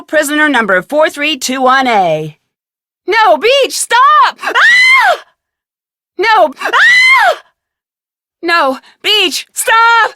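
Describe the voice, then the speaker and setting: A young woman playing a plucky, inquisitive amateur detective in a video game, speaking earnestly with clear, expressive delivery. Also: high-pitched, dry recording, clean studio sound, full character voice. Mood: smug, triumphant, then terrified, screaming.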